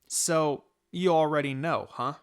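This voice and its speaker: A man voicing a young female ninja in a story reading, firm and sardonic but secretly soft-hearted. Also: a little high, measured pace, smooth. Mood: stern, teasing.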